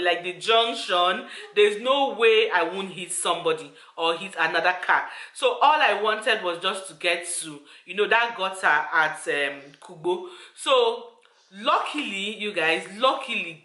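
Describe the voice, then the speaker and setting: Bright-voiced woman vlogger. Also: medium pitch, expressive, a little slow, reverberant. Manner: earnest, repetitive, addresses viewers directly. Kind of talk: personal storytime to camera.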